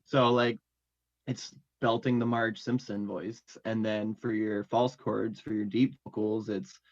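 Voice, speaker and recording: A man's voice: lowish voice, level tone, a little slow. A man chatting casually as an interview guest about his music, rambling and full of fillers. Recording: dull lo-fi audio.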